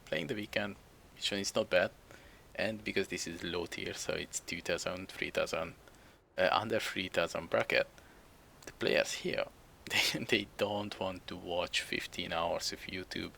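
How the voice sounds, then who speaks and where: A young Hungarian-accented man rambles through his gameplay commentary in imperfect English, often chuckling at himself. His voice sits low with a gravelly fry, yet has a bright, sharp edge. A faint hum of noise runs under him. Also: measured pace. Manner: rambling, chuckling, self-deprecating. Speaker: game streamer.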